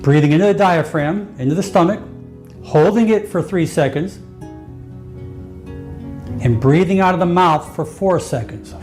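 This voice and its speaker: A man's voice: on the high side, moderate pace, long pauses, darkish tone, faint music behind. A man giving guided breathing instruction, step by step and with repetition. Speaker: exercise instructor.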